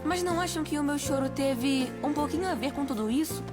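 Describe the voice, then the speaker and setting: An actor voicing an eager, hopeful young boy in a cartoon, excited and pleading. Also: sits high, a little fast, close to an everyday voice. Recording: cartoon clip under loud music.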